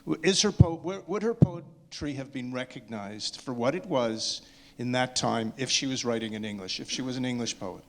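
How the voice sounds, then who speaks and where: Halting, insistent man in a panel discussion. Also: mid-range voice. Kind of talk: spontaneous discussion.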